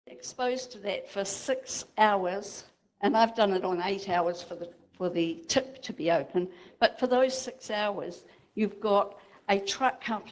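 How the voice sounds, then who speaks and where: A woman's voice: medium pitch, rough recording. Female resident, New Zealand accent, earnest, number-driven. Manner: earnest, argues with figures. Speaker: resident speaking at public meeting. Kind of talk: public meeting question.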